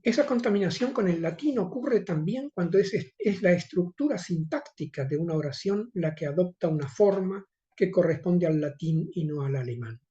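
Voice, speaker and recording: Older Argentine-accented male academic, mellow tone, muffled conference recording. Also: sits high, expressive. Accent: Rioplatense Spanish. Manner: measured, formal reading with occasional hesitations. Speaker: conference speaker. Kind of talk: reading an academic lecture.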